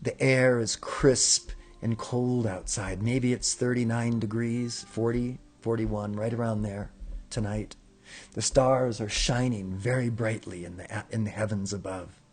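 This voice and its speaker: A man hosting a spiritual broadcast, speaking in a calm, reflective way and leading chanted affirmations. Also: lowish voice, measured pace, breathy edge. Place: indoor room with windows in the mountains.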